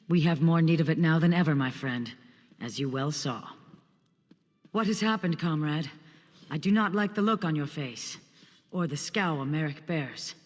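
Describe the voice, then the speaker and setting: Solemn guild officer male actor, fairly high voice, formal and urgent, fantasy game, long reverb. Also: lightly acted. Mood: grave, urgent. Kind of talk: fantasy video game acting. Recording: long reverb with faint music.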